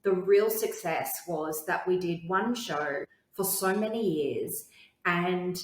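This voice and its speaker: A woman's voice: lowish voice, slow pace, echoey room, rough recording. A woman being interviewed as an entrepreneur and TV host, telling her story in an articulate, confident way.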